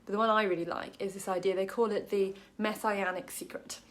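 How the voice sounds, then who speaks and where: A woman from Wales talks through a short Bible passage in a relaxed, chatty way. She fills her sentences with ums and drops in small jokes about herself. Her voice sits at an ordinary middle pitch. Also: brisk. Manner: chatty, many ums, self-deprecating jokes. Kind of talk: casual Bible study talk to camera.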